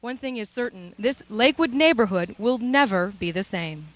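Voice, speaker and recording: Female news narrator, American accent, slightly high voice, scripted solemn report. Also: lively intonation, normal speed, crowd noise.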